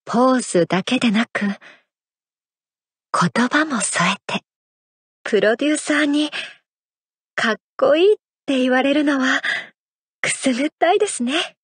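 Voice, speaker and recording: A sweet young idol girl, high voice, slow and dreamy, dry studio sound. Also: animated, breathy edge, no room sound, heavily acted. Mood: tender. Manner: soft, intimate, affectionate lines. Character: graceful, playful young adult female idol. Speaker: idol character in a mobile game. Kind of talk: game character voice lines. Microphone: studio mic.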